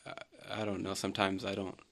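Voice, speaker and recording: Low, flat, gravelly man. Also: fast talker. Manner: reflective, stumbling restarts. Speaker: filmmaker guest. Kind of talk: casual interview.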